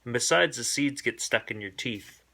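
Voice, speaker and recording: Guy doing a stubborn little boy bear from a kids book, bright, contrary, kinda braggy. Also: medium pitch, moderate pace, creaky. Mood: dismissive. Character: young brother bear cub, stubborn, boastful boy.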